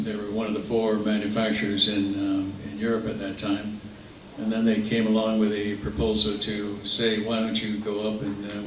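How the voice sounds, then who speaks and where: A man's voice: lowish voice, a bit bright. An older man giving a rambling, good-humoured reunion speech, full of fillers. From not Norway. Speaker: former aviation contractor. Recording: echoey hall from far off, noisy.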